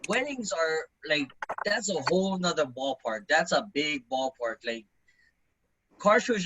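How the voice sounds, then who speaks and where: A man's voice: a little high, pushed and bright, slightly rough, low-quality recording. A man in his forties, a business owner and event emcee, telling his story in a casual interview and acting out quoted lines in a raised voice. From Hawaii.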